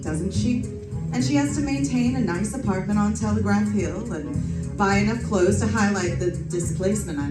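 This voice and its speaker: A woman's voice: medium pitch, natural voice. Female private eye, acted by a woman, vintage radio drama, breezy, flirtatious wisecracks, roomy stage sound. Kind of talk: 1940s radio detective acting. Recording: roomy distant stage pickup with loud music.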